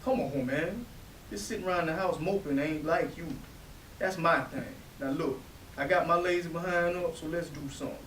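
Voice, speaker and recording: A man's voice: on the high side, normal speed, a bit bright, lightly acted. A man playing a restless, cocky young joker in a readers theatre play, talking in pushy slang. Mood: coaxing. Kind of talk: stage readers theatre acting. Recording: echoey room, distant mic, faint noise.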